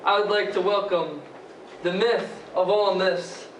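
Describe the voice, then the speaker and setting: A young man playing a polite, admiring radio interviewer who asks questions haltingly in a sketch. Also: high voice, steady tone, a little hiss or hum. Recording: roomy distant mic under music. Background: instrumental music.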